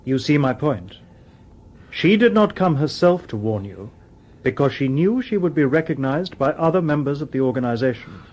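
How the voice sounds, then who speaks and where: An actor in a radio drama playing a calm, coolly logical humanoid robot detective with formal, precise speech. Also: male voice, medium pitch, moderate pace, close to an everyday voice. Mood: calm, probing.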